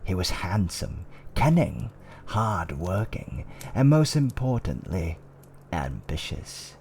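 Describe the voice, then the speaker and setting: Guy doing an older fantasy tavern owner, slow n lowish voice, theatrical dark fireside asmr story, some background noise. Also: very animated, creaky, heavily acted. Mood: dark, suspenseful. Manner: theatrical, archaic wording, drawn-out suspenseful delivery.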